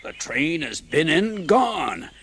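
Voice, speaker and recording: A man's voice: a little high, big pitch swings, slow, fairly bright tone, slight background noise, heavily acted. A man playing a matter-of-fact, slightly wry country station master in an audiobook drama.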